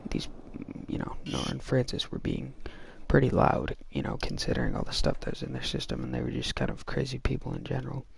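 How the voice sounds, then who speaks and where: A young man casually telling a personal story in a halting, rambling way, like a video host chatting to viewers. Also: medium pitch, very animated, gravelly.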